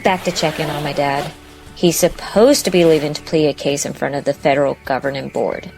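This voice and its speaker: A woman's voice: low-pitched, fairly even intonation, brisk, darkish tone, soft background music. A woman playing a confident, determined, slightly ornery young woman in an audio drama. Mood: self-assured.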